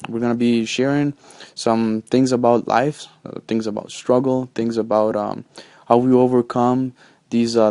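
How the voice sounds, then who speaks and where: A man's voice: medium pitch, dark tone, no room sound. Young Honduran man podcasting on a phone. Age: 20s. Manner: rambling, with many fillers and restarts. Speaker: podcast host. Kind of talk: casual solo podcast monologue. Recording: dry phone recording with faint music.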